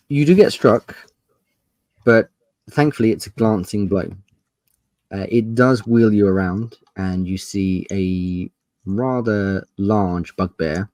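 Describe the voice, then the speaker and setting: A man running a tabletop roleplaying game, narrating scenes in a measured, descriptive and polite way. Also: deep voice, darkish tone, no echo. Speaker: game master.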